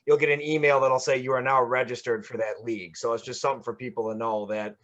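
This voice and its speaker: A man's voice: mid-range voice, flat delivery, quick pace, a bit bright. A man with an average voice joins in on a video call to share a tip. He talks casually and hesitantly, often restarting his sentences. His audio comes through muffled. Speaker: meeting attendee. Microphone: computer mic on a video call.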